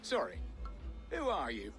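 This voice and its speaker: A man's voice: mid-range voice, huge pitch swings, measured pace, fairly bright tone, soft background music. A man playing a guarded, nervous market merchant in a video game, evasive and wary. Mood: suspicious. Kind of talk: video game character acting.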